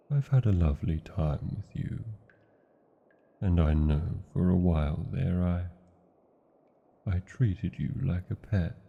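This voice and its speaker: Very deep, gravelly British dragon. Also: male voice, phone-quality sound, exaggerated voice. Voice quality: gravelly, husky. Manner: archaic, grandiose phrasing with lingering pauses. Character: huge ancient male dragon, lovesick, proud, tender, commanding. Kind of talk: romantic fantasy ASMR roleplay, slow theatrical delivery.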